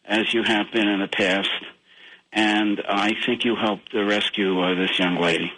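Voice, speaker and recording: A man speaking deliberately as a guest in an interview. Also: lowish voice, flat delivery, pushed and bright, slight background noise, low-quality recording. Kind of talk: spontaneous interview talk.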